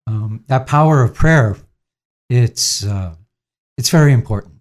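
A man in his sixties, a priest, answering interview questions in a gentle, unhurried way with frequent pauses and fillers. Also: medium pitch, lively intonation. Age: 60s.

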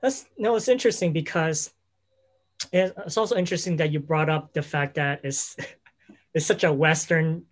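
A man's voice: on the high side, normal speed. A man interviewing a guest in casual conversation, rambling through his questions and leaning on fillers like "you know" and "right?".

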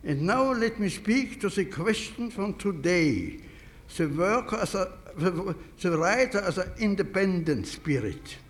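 Slow elderly Austrian man speaking English. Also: high-pitched, expressive. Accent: Austrian German. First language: German. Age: older. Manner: halting, careful non-native English. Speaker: conference speaker. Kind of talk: formal prepared speech.

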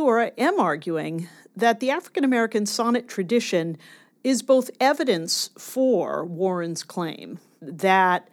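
A woman scholar being interviewed about her work, speaking in an enthusiastic, academic way. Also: lowish voice. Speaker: guest scholar and author. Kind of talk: interview discussion of literature.

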